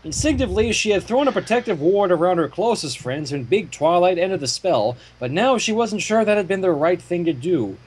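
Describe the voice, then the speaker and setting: A man with a high, slightly rough voice narrates a story in a very animated way. He trips over words now and then and drops out of the reading to make joking asides. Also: fairly quick, hardly any pauses, poor audio. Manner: animated, stumbles and breaks off to comment. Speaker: fan fiction reader and narrator.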